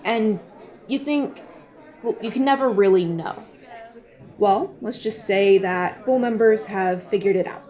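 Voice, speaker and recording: Actress as a mellow, coy cult recruiter. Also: female voice, mid-range voice, a little slow, lightly acted. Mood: coy, earnest. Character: gushing, evasive young woman recruiter for a secretive group. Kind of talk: audio drama dialogue.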